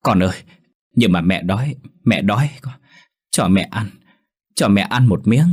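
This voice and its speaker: A man's voice: on the low side, lively intonation, unhurried, darkish tone, slightly rough. A man voicing a frail, starving old woman who pleads and whimpers to her son. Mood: pleading.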